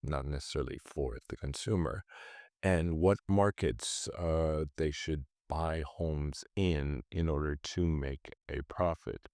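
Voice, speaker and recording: A man's voice: rumbling low, a little slow, a little mellow, no echo, studio quality. A man hosting a stock trading channel, rambling through his trades and correcting himself as he goes, a bit congested from a cold. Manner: rambling, self-correcting, thinks aloud. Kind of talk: casual screen-share commentary on trades.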